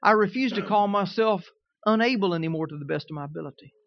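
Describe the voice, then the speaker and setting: High-voiced man preaching with a Southern twang. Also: fast talker, dry recording. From Kansas. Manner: conversational preaching, often checks in with listeners. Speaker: pastor. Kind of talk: sermon. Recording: dull, dry recording.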